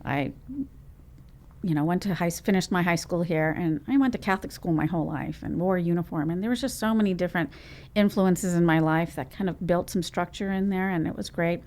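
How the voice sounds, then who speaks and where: A woman from Trinidad and Tobago, a business owner, telling her story in a lively, rambling way as an interview guest. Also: fairly low pitch, brisk. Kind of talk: conversational interview.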